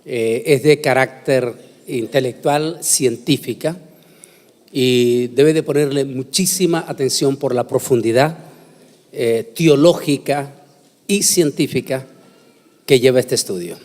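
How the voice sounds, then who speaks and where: A male preacher delivers a conference sermon with solemn weight and deliberate emphasis. His mid-pitched voice rings through a large hall with long reverb, phrase by phrase, like a formal lecture on scripture. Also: darkish tone. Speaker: preacher giving a conference talk. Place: large hall, speaking to a congregation.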